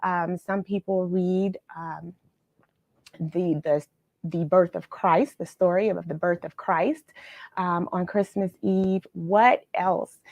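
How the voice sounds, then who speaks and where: A woman's voice: lowish voice, fairly even intonation, takes it slowly, darkish tone, dry recording. A middle-aged American woman hosting a livestream read-along, chatting casually and effusively with viewers and drawing out some words. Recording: dull, lo-fi, dry livestream audio.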